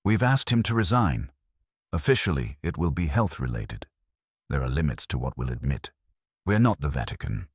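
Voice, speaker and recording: A man's voice: extremely low pitch, sing-song, normal speed, breathy edge, no room sound, exaggerated voice. A man voicing a dry, precise, vaguely amused female board chair in an audiobook, clipped and official. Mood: dry, guarded.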